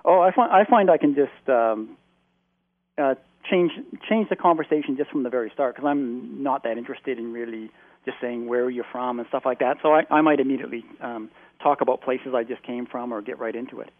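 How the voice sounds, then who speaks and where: Man, from Canada, quick rambling storyteller, lots of fillers, phone-line sound. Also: medium pitch, no echo. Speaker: guest, long-term traveller. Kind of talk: casual phone interview.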